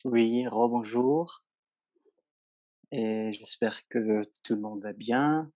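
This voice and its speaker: A man from Iran, a French-speaking tour guide, presenting a trip slowly with many hesitations. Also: medium pitch, warm tone, no room sound. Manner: slow, hesitant, many fillers. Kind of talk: webinar presentation.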